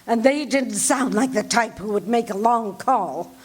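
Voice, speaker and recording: A woman acting a high-strung, imperious wealthy lady in a live radio play, urgent and breathless. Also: measured pace, slight background noise, natural voice. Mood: impatient. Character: anxious demanding wealthy woman, invalid. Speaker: radio drama actor. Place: RV resort hall before a live audience.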